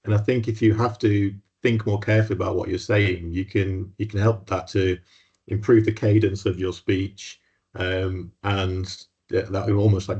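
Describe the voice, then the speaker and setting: A man's voice: deep voice, a little mellow, slightly rough. A man hosting an online workshop in a friendly, chatty way. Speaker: workshop host. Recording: dull lo-fi audio.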